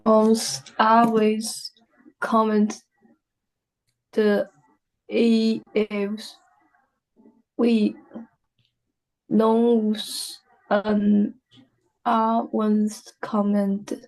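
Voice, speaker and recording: A woman student sounds her way through practice sentences, stumbling and pausing between words. Her delivery is very slow and nearly flat in pitch. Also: low-quality recording. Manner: halting, stumbling over words. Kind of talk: reading practice sentences aloud.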